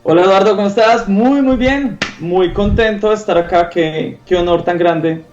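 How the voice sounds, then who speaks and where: Enthusiastic Colombian man, fairly high voice. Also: normal speed, darkish tone, dull audio, faint music behind. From Popayán, southern Colombia. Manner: enthusiastic, emphatic, often adds a questioning "¿sí?". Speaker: interview guest, concept artist. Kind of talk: casual conversational interview.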